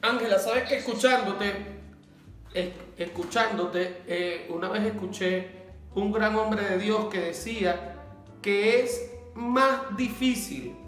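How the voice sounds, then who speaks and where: Slow-speaking pastor in an echoey room. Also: male voice, high voice, a bit bright, faint music behind. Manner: enthusiastic, recounting a sermon. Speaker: pastor and host. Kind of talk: online interview.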